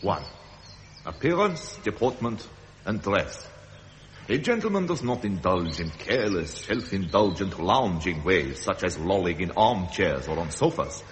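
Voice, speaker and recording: A man's voice: deep voice, lively intonation, unhurried, slight background noise. An actor playing a stern, middle-aged royal father in a 1970s radio drama, pedantic and disapproving, lecturing formally. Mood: pompous lecturing.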